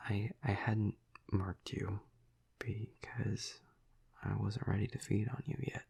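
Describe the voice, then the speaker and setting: A flirty, protective male vampire, acted by a man, murmurs intimately to the listener in an audio roleplay. His low voice is very husky and breathy, thick with gravelly fry. He talks slowly and softly, with a hesitant, soothing manner. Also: flat delivery, full character voice. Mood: gentle, explaining. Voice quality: breathy, gravelly half-whisper. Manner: slow, hesitant, soft, soothing.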